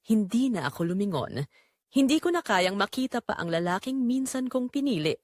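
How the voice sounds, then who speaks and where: A woman's voice: medium pitch, big pitch swings, normal speed, a little mellow, dry recording, studio quality. A woman narrating a dramatic first-person story as a scripted audio drama.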